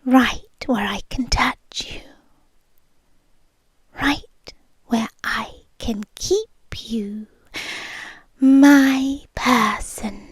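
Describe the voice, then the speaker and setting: A woman's voice: fairly high pitch, breathy edge, no room sound, exaggerated voice. A woman with a British accent voicing an obsessive, possessive rich young woman in a whispered ASMR roleplay. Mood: possessive purring. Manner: soft whispered, slow, purring menace.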